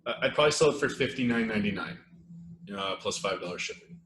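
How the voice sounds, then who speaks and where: Low-voiced man hosting a live webinar. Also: fast talker. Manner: relaxed, rambling, many fillers and restarts. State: losing his voice, clearing throat. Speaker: webinar host. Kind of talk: casual live webinar talk. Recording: echoey room with faint noise.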